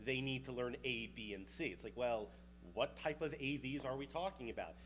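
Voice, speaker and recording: A man's voice: mid-range voice, moderate pace, a bit bright, a little hiss or hum. New York man giving a casual conference talk. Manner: casual and chatty, with a joking aside here and there. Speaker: researcher presenting at a conference.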